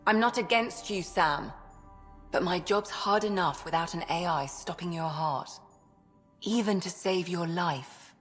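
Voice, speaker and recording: Game medic: caring, exacting woman, fairly low and unhurried, measured delivery. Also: fairly bright tone, big-room sound, soft background music. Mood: exasperated. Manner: measured, earnest. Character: exasperated, caring woman doctor, professional and protective. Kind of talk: video game voice acting.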